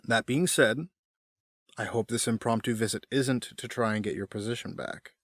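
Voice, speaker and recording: A man's voice: medium pitch, normal speed, a bit bright, no echo. Urbane, smug boss villain played by a man, mid register, politely condescending, audio drama, very dry sound. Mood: smug, taunting. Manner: suave, formal, condescending. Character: smug, urbane middle-aged male villain, powerful boss.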